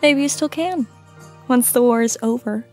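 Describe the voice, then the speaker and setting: Woman acting a war nurse, fairly high voice, calm, caring, world-weary, audio drama, faint music behind. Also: moderate pace, close to an everyday voice. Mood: gentle, sombre.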